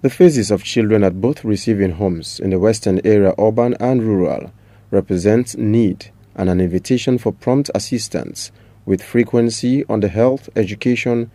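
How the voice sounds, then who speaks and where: Low, mellow Sierra Leonean male news reporter. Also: moderate pace, no echo. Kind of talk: scripted news report narration.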